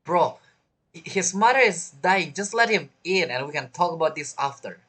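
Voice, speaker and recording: A man giving casual reaction commentary over a game as a streamer, blunt, jokey and halting. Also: on the high side, lively intonation, fairly bright tone. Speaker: gaming streamer.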